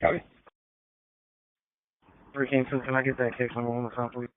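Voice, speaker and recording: A man's voice: mid-range voice, level tone, normal speed, no echo. A man working as a police officer, calling in tersely over a two-way radio. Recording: narrow-band police scanner radio, dry.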